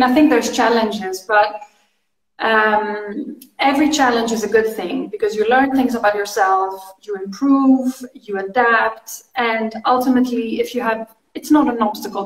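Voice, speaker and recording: A woman's voice: on the high side, far from the mic. Greek-born woman on a roomy video call. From Greece. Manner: thoughtful, expressive, steady flow.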